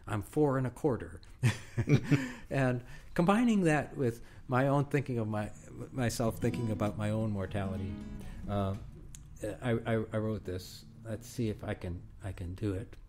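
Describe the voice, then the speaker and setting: A man in his sixties, a folk singer-songwriter, talking softly and hesitantly with many fillers. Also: fairly low pitch, moderate pace. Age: 60s. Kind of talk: relaxed chat, then singing with guitar. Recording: guitar music behind.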